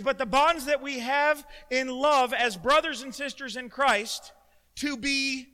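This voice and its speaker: A middle-aged man preaching a sermon as pastor, loud and emotional, with self-deprecating humor. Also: very high voice. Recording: long hall reverb.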